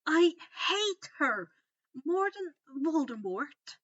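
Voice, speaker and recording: A woman's voice: high voice, sing-song, takes it slowly, a bit bright, lo-fi sound, voice pushed up, heavily acted. A woman voicing a fierce, worried teenage witch girl in a storybook reading, emphatic and indignant.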